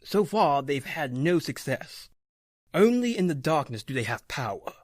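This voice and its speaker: Solemn older authority in spooky radio play, very varied pitch, dry studio sound. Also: male voice, very animated, normal speed, no room sound. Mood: ominous. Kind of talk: old-time radio horror acting.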